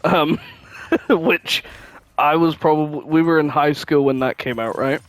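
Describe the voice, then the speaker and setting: A man's voice: a little high, measured pace, dry recording. A man, probably in his twenties, chatting casually and informally with plenty of swearing as a podcast co-host. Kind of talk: casual chat.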